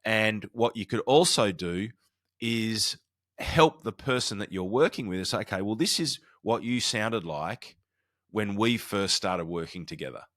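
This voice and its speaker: A man with a low-pitched voice talks solo as a podcast host and coach, giving practical advice. His delivery is conversational and informal, often halting to restart a phrase. The sound is close and dry, with very little room to it. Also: animated, a bit bright, dry recording. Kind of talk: conversational solo podcast talk, explaining and advising.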